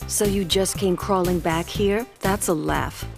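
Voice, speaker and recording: A woman's voice: lowish voice, a little slow, gravelly. Scornful, blunt woman over music, acted. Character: blunt, scornful, tough-minded young woman. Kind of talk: audio drama acting.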